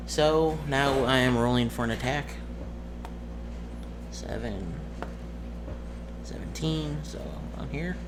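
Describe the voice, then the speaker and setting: Slow-paced man narrating a tabletop game, noisy recording. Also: medium pitch. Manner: halting, muttering through dice sums. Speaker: game master. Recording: clearly noisy background.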